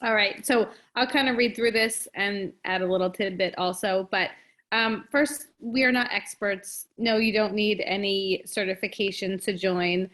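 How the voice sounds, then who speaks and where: A woman reads through slides on a video call and adds her own asides, her pitch sitting in the middle range. She speaks steadily and pauses often on ums. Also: steady tone, fairly bright tone. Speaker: fitness coach. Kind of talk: reading slides with commentary.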